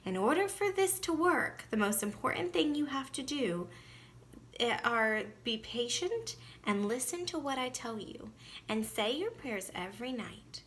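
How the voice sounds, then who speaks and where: A woman voicing a reassuring, patient and gently firm mother in a storybook read-aloud. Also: on the high side, big pitch swings, measured pace, slight background noise, pitched above the performer's own voice. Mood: patient, instructive.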